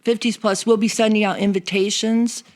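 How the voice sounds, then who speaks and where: A woman's voice: medium pitch, little pitch movement, moderate pace, darkish tone. An older woman chatting casually as a guest, announcing details of a church seniors group.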